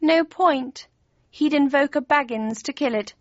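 A woman voicing a grinning, mischievous male Cheshire Cat in an audiobook, with playful, genteel, drawn-out speech. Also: high voice, no room sound, muffled recording, pitched above the performer's own voice. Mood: musing. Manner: drawling, playful, old-fashioned polite phrasing. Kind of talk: audiobook character voice.

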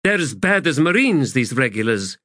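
A man's voice: medium pitch, sing-song, moderate pace, bright, forceful tone, dry recording, clean studio sound, voice pushed up. A man voicing a no-nonsense female army commander who speaks in a clipped, commanding way. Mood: brisk.

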